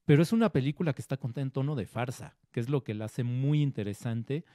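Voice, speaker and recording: A man's voice: mid-range voice, fast talker, dark tone, no echo, clean studio sound. A Mexican man, a film critic and editor, chatting about movies with an enthusiastic, storytelling manner and many fillers. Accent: Mexican Spanish. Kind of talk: casual film discussion.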